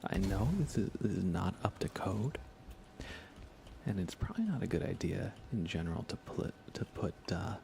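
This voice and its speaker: A man softly commentating on a relaxed ASMR gaming livestream, often near a whisper, musing aloud casually with dry humor. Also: low voice, gravelly. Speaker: live streamer, host.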